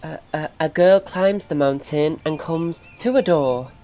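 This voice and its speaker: Actor as thoughtful halting speaker, really slow n mellow, slightly muffled audio play. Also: medium pitch, close to an everyday voice. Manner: halting, deliberate. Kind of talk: audio drama dialogue. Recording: muffled, dull sound.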